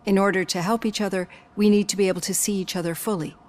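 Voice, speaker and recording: A woman playing a composed, probing psychologist in a video game, speaking in a measured, articulate, clinical way. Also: medium pitch, fairly even intonation, heavy background noise, natural voice. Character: composed, probing female psychologist with prosthetic hand.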